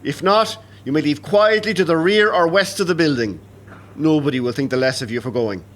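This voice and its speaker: A man's voice: on the high side, brisk, a bit bright, lightly acted. An actor with an Irish accent playing a resigned, dutiful rebel officer in an audio play, giving plain, orderly advice to his men. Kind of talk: historical audio drama acting. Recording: faint noise, crowd ambience behind.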